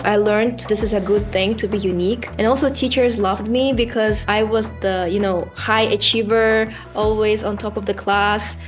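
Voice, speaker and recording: A young woman from Kazakhstan whose first language is Russian, speaking English at a mid pitch. She chats easily as an interview guest, with many small fillers and light laughs. Faint music plays behind her. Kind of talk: casual interview conversation.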